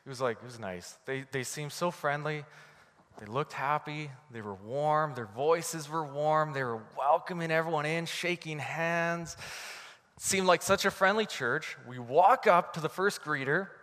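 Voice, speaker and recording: A man's voice: on the high side, unhurried. Canadian man preaching in a reverberant hall. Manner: storytelling, animated, laughing at own story. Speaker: pastor. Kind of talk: sermon.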